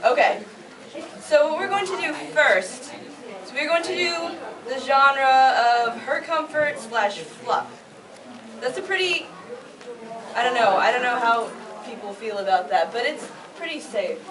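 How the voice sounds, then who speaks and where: A high-pitched woman hosts a fan convention panel in an informal, bantering way. She is recorded from a distance in an echoey room. A crowd is audible around her. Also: expressive, a little slow. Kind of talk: playful live panel banter. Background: audience chatter.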